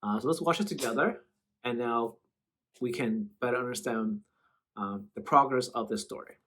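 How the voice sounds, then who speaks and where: A man's voice: mid-range voice, normal speed, a bit bright, slight background noise, rough recording. A man hosting casual reaction commentary in a slangy, bantering way. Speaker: host.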